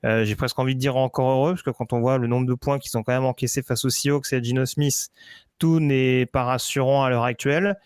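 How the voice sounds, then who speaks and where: A man's voice: medium pitch, steady tone, brisk, smooth, no room sound, clean studio sound. A man giving casual football predictions on a podcast, hesitant, with many fillers and restarts. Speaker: football analyst.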